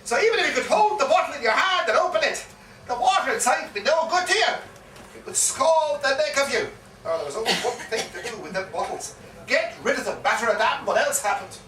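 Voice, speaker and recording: Distant mic in a live pub, male actor as excitable Irish tale-teller, bright forceful voice, dramatic emphasis, comic stage delivery. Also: very high voice, brisk, heavily acted. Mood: insistent explaining. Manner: theatrical, repeats phrases with relish and dramatic emphasis. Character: excitable Irish pub yarn-spinner telling a wild tale. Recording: echoey live pub recording, distant mic, faint noise.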